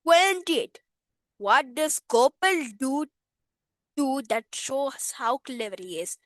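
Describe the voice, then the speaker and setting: A woman doing a sing-song put-on Indian accent, reading out quiz questions in a slow, unhurried way. Her voice sits fairly high and swoops up and down a lot as she goes. The delivery is playful and exaggerated. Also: big pitch swings, fairly bright tone, no room sound, clean studio sound, full character voice. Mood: teasing. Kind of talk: reading multiple-choice questions aloud.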